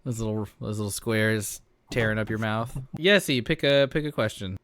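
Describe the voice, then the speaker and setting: Guy chatting on a podcast, smooth clean voice, dry sound, jokey and sweary. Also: fairly low pitch, normal speed, fairly bright tone, no echo. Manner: profane, joking. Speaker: podcast host and tabletop player. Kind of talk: casual chat.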